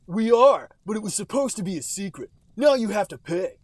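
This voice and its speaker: Hot-headed teen echidna played by a man, cartoon bluster, high swooping yell, dry sound. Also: lively intonation, a little fast, dry recording. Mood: jealous fury. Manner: yelling, blustering. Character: hot-tempered, jealous male cartoon echidna teenager.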